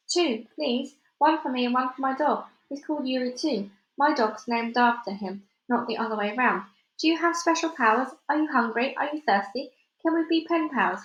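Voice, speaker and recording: A woman's voice: a little high, muffled recording, close to an everyday voice. A woman voicing a curious, headstrong young girl who fires off eager questions, in an echoey room. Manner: rapid-fire eager questions.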